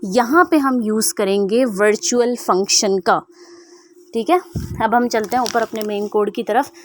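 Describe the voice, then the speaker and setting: A woman teaching programming in a screen-recorded tutorial, reading code output slowly word by word and asking rhetorical questions. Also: fairly high pitch, no room sound. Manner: rhetorical questions, frequent "ठीक है" check-ins. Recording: faint background noise.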